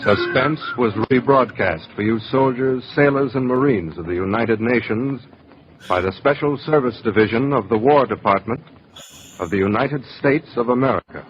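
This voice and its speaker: A man announces formally in 1940s radio style. His pitch is medium and the old recording is muffled. Loud music plays behind him. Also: moderate pace.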